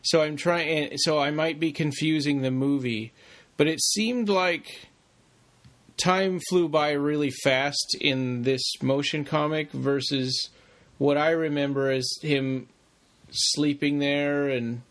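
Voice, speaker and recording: A man with a lightly raised pitch chats as a podcast co-host. He speaks casually and tentatively, working out his thoughts as he goes. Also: fairly even intonation, slow, fairly bright tone. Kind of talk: casual discussion.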